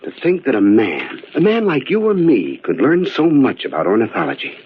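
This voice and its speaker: Radio drama: bookish man besotted with birds, animated and husky, pedantic, eager, narrow tinny sound. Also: mid-range voice, moderate pace. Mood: earnest enthusiasm. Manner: pedantic, hesitant, enthusiastic. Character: bookish, earnest male bird enthusiast, absorbed and eager. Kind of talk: old-time radio drama acting. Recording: tinny, narrow-band old radio recording.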